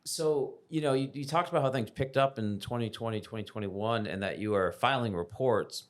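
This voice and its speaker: An American man hosting a conversational interview, speaking in measured, thoughtful phrases. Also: medium pitch. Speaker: podcast host, interviewer.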